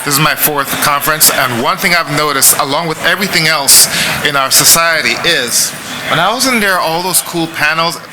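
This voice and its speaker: A man gives his views from behind the camera in a relaxed way, with a few uhs. His voice sits slightly high. Steady noise runs behind him. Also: moderate pace. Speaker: channel host behind the camera. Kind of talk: casual commentary. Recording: noisy background.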